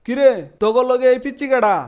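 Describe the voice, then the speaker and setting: Very high-voiced pompous cartoon gang boss. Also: male voice, fairly even intonation, fast talker, a little mellow. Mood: suspicious. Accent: Bangladeshi. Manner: pompous, speechifying, threatening. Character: bossy crooked chief of a beggars' syndicate. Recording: music behind the voice.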